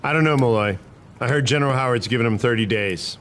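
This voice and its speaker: A man's voice: mid-range voice, quick pace, fairly bright tone, slight background noise, lightly acted. A man playing a principled, stubborn cavalry private in a historical drama, arguing in folksy frontier speech. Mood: weary, doubtful.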